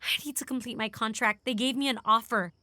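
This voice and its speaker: A hard-edged, bitter woman operative in an audio drama. Her voice is high and piercingly bright, recorded very dry. She is blunt and scolding, with no patience for sentiment. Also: fairly even intonation, fairly quick, no room sound. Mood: bitter, angry.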